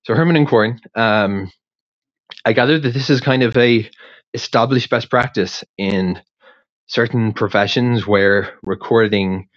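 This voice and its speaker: A man's voice: medium pitch, measured pace, no room sound. A native English-speaking man rambling casually into a voice recorder, hesitant and circling back over his words. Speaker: podcast creator sending in a question. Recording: dull, dry lo-fi voice recording.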